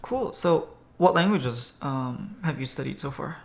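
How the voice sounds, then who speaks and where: A man hosting a podcast, speaking casually and a little haltingly with an agreeable manner. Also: mid-range voice, expressive, gravelly, a bit bright. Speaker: podcast host. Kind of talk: casual conversational interview.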